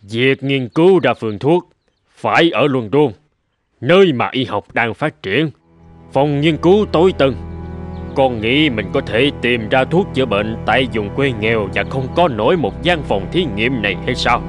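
A man voicing a grave older gentleman physician and mentor in a dubbed cartoon, speaking in a measured, formal, earnest way. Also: medium pitch, expressive, soft background music, lightly acted. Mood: urging, concerned.

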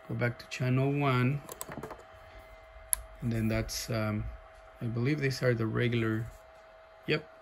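A man casually showing off a radio at a desk, thinking aloud with lots of fillers and pauses. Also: mid-range voice, a little hiss or hum. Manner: slow, halting, many fillers, thinking aloud. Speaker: radio seller demonstrating a CB radio. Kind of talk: casual off-the-cuff demo. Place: indoor workshop at a desk.

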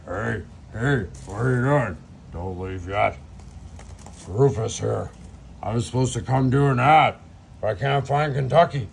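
A man's voice: mid-range voice, unhurried, gravelly, slight background noise, pitched below the performer's own voice. A man voicing a lost, bewildered comic character who is out of breath and rambling in confusion. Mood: dazed, breathless. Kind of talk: comic sketch sign-off.

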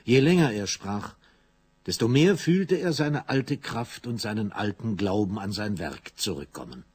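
An actor narrating a radio play as a grave storyteller, in dramatic scripted style. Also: male voice, fairly low pitch, expressive, measured pace, a little mellow, breathy edge. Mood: grave, intense.

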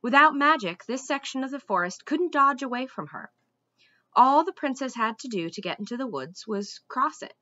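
A woman's voice: high-pitched, animated, moderate pace, bright, forceful tone, dry recording, highs cut off. A woman voicing a puzzled, grumbling male ruler of an enchanted forest in a storybook, muttering to himself. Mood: numb realization.

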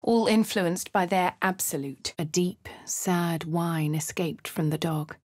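A posh English adventurer with a lowish voice and a dry, sardonic edge. She delivers each line in a measured, composed way. The sound is close and studio-dry. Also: fairly even intonation, a little mellow, no echo. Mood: wry. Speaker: player character. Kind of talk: game voice lines. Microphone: studio mic.

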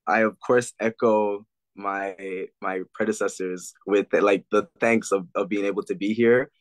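A man's voice: fairly low pitch, fairly even intonation, a little slow, no room sound. A young man from Jamaica, a guest on a video call, talking animatedly and often saying "like". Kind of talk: casual video call chat. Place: indoor room.

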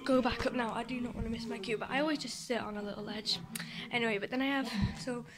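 A woman's voice: fairly high pitch, fairly even intonation, quick pace. A teenage girl giving a casual video diary to camera, recorded on a phone held at arm's length backstage. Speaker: vlogger and youth pantomime performer. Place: backstage dressing area indoors.

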